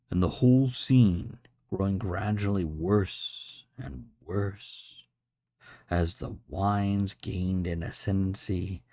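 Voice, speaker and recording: A man's voice: low-pitched, a little mellow, no room sound, clean studio sound. A man narrating a classic tale as a podcast story reader, in a dramatic, literary style.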